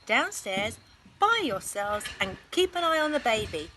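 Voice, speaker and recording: A woman's voice: on the high side, big pitch swings, a little slow, background noise. A woman voicing a weary, exasperated but fond elephant mother from a children's storybook, with emphatic, sighing delivery. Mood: exasperated, firm. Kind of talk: children's storybook character reading.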